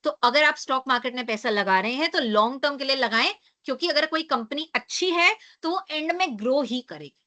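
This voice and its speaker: A young woman teaching an online class, hoarse from losing her voice, who explains with rhetorical questions and a frequent tag question. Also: high-pitched, expressive, fairly quick, few pauses, pushed and bright.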